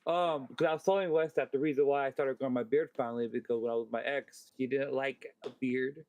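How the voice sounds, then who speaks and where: A man's voice: mid-range voice, fairly even intonation, fast talker, a little mellow, dry recording. Candid man chatting casually, close dry sound. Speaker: podcast panelist. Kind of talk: casual personal chat.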